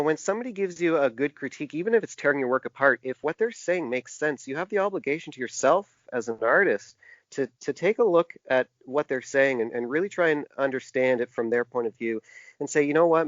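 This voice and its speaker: Halting man in dull, dry audio. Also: mid-range voice, expressive, no echo. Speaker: podcast guest, typographer and graphic designer. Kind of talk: conversational interview answers.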